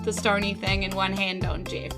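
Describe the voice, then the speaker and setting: A woman's voice: medium pitch, level tone, moderate pace. A woman chatting casually as she improvises as a player in a tabletop game. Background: music under the voice.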